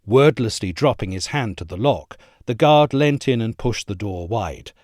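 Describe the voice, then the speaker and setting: Man, audiobook-style essay narration, expressive storytelling, dry close recording. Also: mid-range voice, no room sound. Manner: measured, expressive storytelling read. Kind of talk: scripted narration.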